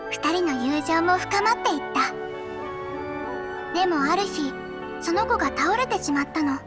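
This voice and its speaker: とても高い声の優しい小さな看護師. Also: female voice, very high-pitched, animated, moderate pace. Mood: wistful storytelling. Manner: soft, gentle, composed feminine speech. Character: gentle little nurse, a fairy-like creature in girlish form. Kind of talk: anime game dialogue and fairy-tale storytelling. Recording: music behind the voice.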